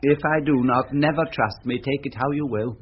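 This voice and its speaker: A man's voice: medium pitch, moderate pace, natural voice. Man doing silly feeble british knight, gullible, old radio play, dull hissy recording. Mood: wistful. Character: foolish gullible knight, feeble would-be fighter. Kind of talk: 1970s British radio Shakespeare acting.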